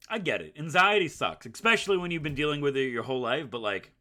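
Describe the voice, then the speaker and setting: A man's voice: a little high, bright, forceful tone. A man giving casual, joking commentary with lots of slang as he reads through a visual novel. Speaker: let's player reading a visual novel aloud. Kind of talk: casual game playthrough commentary.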